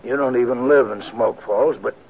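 An actor playing a wounded small-town sheriff, weary and pleading, speaking weakly and haltingly in a 1950s radio western. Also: male voice, mid-range voice, vocal fry, natural voice. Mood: weary warning. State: weak, labored, halting speech. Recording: muffled, hissy old radio recording.

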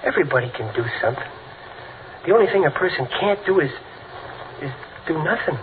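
A man's voice: fairly high pitch, lively intonation, a little fast, natural voice. An American actor playing a kindly, plain-spoken working man in a 1940s radio comedy, with a folksy, hesitant, earnest way of talking. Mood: earnest, gentle. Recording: tinny, band-limited old radio with faint noise.

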